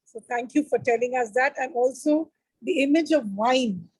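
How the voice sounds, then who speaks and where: A woman giving a halting vote of thanks on a video call, with many fillers. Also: high-pitched, darkish tone, slightly rough, no room sound, rough recording.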